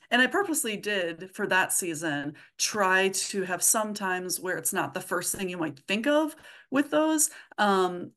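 A woman speaking as an interview guest in a casual, halting way, with thoughts that trail and pick up again. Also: lowish voice, fairly bright tone. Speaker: podcast guest, comedy audio drama writer.

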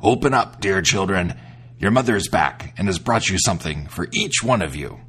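A man's voice: sits low, lively intonation, normal speed, a bit bright, husky, full character voice. A man voicing a cunning wolf villain in a fairy tale, sly and coaxing.

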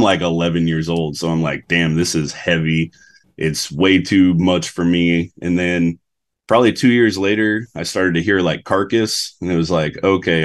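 Low-voiced young guy from Alaska, easygoing guest who rambles. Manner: laid-back, rambling, many "like"s. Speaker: podcast guest, metal guitarist. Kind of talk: casual interview chat.

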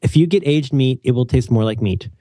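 A man hosting a casual chat, animated and opinionated, joking often and restarting his sentences. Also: mid-range voice, a little fast, no room sound. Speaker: podcast host.

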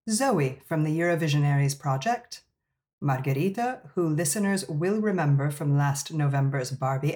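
A man's voice: on the high side, darkish tone. A man hosting a podcast, welcoming guests with upbeat introductions over a remote call mic. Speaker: podcast host. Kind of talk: casual podcast chat.

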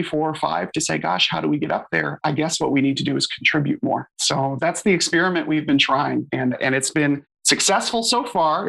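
A man's voice: a little high, creaky, pushed and bright, poor audio. A man on a conversational panel, explaining his association's work casually with frequent fillers. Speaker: open source association staff member.

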